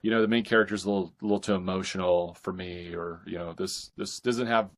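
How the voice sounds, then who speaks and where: A man's voice: low voice, fairly even intonation, fairly quick. A man talking casually as an author guest in a podcast interview.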